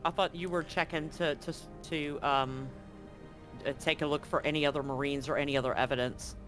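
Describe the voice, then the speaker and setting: A man improvising narration as game master of a tabletop roleplaying game, often pausing to find his words. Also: a little high, fairly even intonation, a bit bright, faint music behind.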